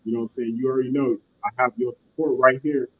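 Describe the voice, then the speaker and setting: A man's voice: mid-range voice, fairly even intonation, quick pace, slight background noise. A man hosting an interview show, talking in rambling casual phrases and often saying "you know what I mean". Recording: tinny phone-like line.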